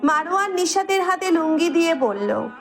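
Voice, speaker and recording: A woman's voice: extremely high pitch, animated, normal speed, soft background music. A woman reading a scripted story as its narrator.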